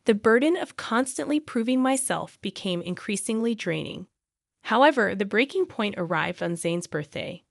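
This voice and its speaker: A woman's voice: medium pitch, creaky, no room sound, studio quality. A woman reading a scripted first-person story as its narrator.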